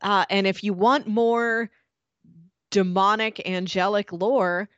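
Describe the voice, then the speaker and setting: A woman talks as a podcast co-host in a casual review style. Her mid-pitched voice sounds dry, with no room echo around it. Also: steady tone, slow, lo-fi sound.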